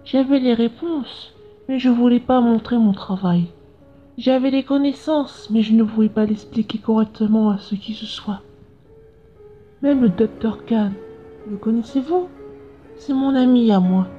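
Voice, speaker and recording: High, muffled, earnest scientist, played by a woman. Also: measured pace, natural voice. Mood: reflective. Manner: explanatory, reflective. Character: earnest, curious scientist with visions of the future. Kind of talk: dubbed comic narration and dialogue. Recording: dull lo-fi sound with music behind.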